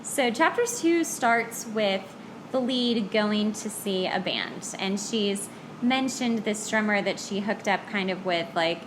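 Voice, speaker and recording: A woman's voice: medium pitch, animated, slow pace. A woman with a Californian accent chatting casually and playfully as a podcast host. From California, United States. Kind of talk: casual chat with a guest. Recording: noticeable background noise.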